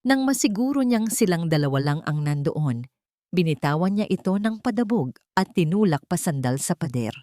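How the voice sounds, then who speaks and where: Low-pitched woman narrating romantic drama, dry sound. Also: expressive, moderate pace, mellow, no echo. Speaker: storyteller.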